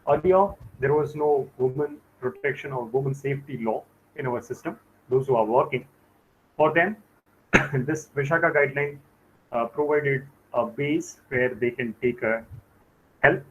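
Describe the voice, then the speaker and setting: A man from India teaching a live online class, speaking slowly with many hesitations and fillers. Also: mid-range voice. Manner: slow, halting delivery with frequent "uh" fillers. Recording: dull lo-fi call audio with faint noise.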